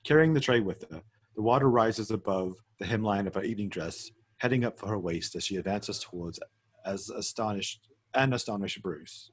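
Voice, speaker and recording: A man reads out screenplay directions as the narrator of a table read. His voice is fairly low and rather husky. He goes through the scene descriptions in a measured, scripted manner.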